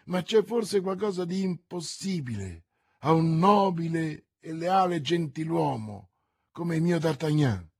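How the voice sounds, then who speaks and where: A man's voice: fairly high pitch, lively intonation, measured pace, creaky, husky, dry recording, studio quality, heavily acted. A man voicing an anxious, lovestruck young woman, proud and trusting, as a character in an audiobook. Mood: elated, proud. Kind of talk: audiobook character voice, classic novel.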